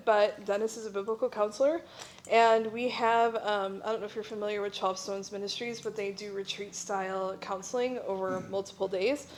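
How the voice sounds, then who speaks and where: A middle-aged woman gives an informal talk as a missionary presenter. She explains things conversationally, in a mid-pitched voice. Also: a little hiss or hum. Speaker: missionary speaker at a church breakout session.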